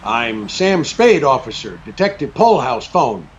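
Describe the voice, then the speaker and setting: An actor playing a cool, brisk, sardonic private detective in an old-time radio drama, with a clipped, take-charge manner. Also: male voice, medium pitch, very animated, a little hiss or hum. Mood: matter-of-fact. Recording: dull lo-fi radio-play recording.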